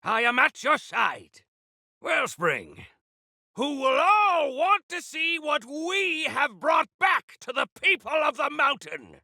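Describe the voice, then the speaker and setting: A zealous exiled rebel for a video game, swinging wildly in pitch with a forceful, piercing edge. He is grandiose and eccentric, drawing out his florid, old-fashioned phrases at an unhurried pace. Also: big pitch swings, gravelly, no room sound, exaggerated voice. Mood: rallying. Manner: bombastic, archaic florid phrasing. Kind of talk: theatrical video game character lines.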